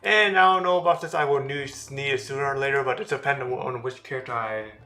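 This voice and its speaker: A man's voice: medium pitch, fast talker, pushed and bright. A man streaming a video game, commenting casually in a rambling way with lots of fillers. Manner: rambling, with many fillers and restarts. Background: game music.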